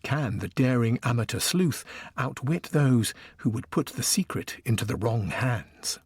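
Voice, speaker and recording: A man's voice: mid-range voice, lively intonation, a little slow, darkish tone, a little hiss or hum. A man presenting a mystery story with a scripted introduction.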